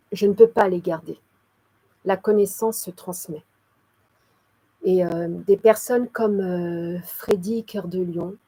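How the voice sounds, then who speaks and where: Mellow husky woman in her forties. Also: mid-range voice, poor audio. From Cameroon. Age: 40s. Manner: hesitant, many fillers, repeats words for emphasis; devout, apologetic. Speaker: channel host. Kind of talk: personal testimony to camera.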